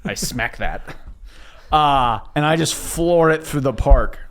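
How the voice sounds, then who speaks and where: Gravelly-edged man, slightly high pitch, animated, jokey casual tabletop role-play. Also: takes it slowly. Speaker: tabletop game player.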